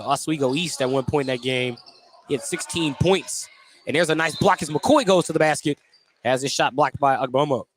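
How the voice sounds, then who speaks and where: A man's voice: a little high, slight background noise. A man with an American accent doing live radio play-by-play commentary of a basketball game in clipped phrases. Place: indoor basketball gym.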